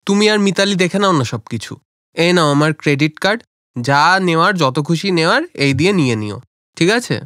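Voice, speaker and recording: A man's voice: fairly high pitch, animated, brisk, a bit bright, no echo, clean studio sound. A man voicing a blunt, irritated young adult son in a cartoon story, grumbling and dismissive. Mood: brisk. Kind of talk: animated moral story cartoon dialogue.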